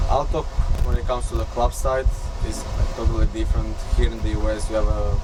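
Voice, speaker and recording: A man in his twenties from Albania, a native Albanian speaker, answering casually in halting, slangy English in an interview. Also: fairly low pitch, level tone, loud music behind the voice, rough recording. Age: 20s. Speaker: interview guest, club promoter and artist.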